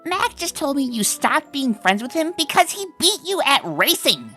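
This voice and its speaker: A woman's voice: sits high, big pitch swings, normal speed, bright, forceful tone, soft background music, full character voice. A woman voicing a brash, loyal, fiery female pony in a comic dub. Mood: indignant. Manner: brash, emphatic. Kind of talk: comic dub character acting.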